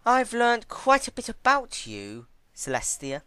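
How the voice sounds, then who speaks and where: A man's voice: very high-pitched, huge pitch swings, a little slow, bright, forceful tone, clean tone, dry recording, voice pushed up, heavily acted. A man voicing a cruel female pony villain who taunts with mock sweetness in a fan-fiction story. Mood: gloating.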